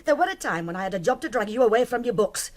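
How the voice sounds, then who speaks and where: A woman's voice: on the high side, big pitch swings, rapid-fire. An actress playing a middle-aged working-class widowed mother with a Northern English accent, blunt and reproving, in a naturalistic radio drama. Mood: worried, doubtful. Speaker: actor.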